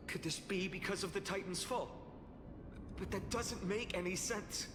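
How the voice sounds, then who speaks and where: A woman's voice: medium pitch, normal speed, lightly acted. A woman voicing a troubled, questioning fantasy heroine in a video game, pondering as she speaks. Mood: puzzled, worried. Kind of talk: dramatic video game voice acting. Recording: reverberant hall with noisy ambience.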